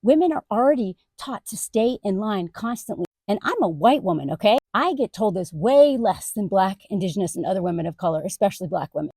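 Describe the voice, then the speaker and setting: A woman content creator ranting straight to camera, emphatic, her voice jumping up high on indignant questions. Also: sing-song, brisk, few pauses, mellow, breathy edge, no room sound, studio quality. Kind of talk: direct-to-camera rant.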